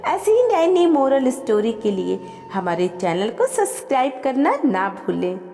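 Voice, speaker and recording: A woman reading a scripted, emotional family story as an expressive storyteller. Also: high-pitched, measured pace. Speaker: storyteller and channel host. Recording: long reverb with music behind. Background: background music.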